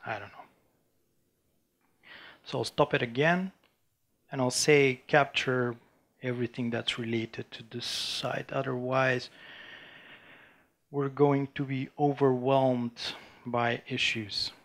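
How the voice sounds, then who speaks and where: Guy doing a tech demo at a conference, gravelly, keeps restarting sentences. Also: medium pitch, steady tone. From Bulgaria. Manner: halting, frequent restarts and fillers while demoing. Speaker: conference speaker. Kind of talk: technical conference talk with live demo. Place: conference room before an audience.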